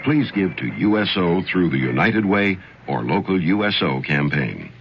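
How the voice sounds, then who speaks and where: Deep male announcer, earnest appeal, lo-fi vintage noise. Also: lively intonation, moderate pace, slightly rough. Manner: earnest, direct. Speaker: public service announcer. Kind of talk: scripted ad read. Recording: dull old radio broadcast with noise.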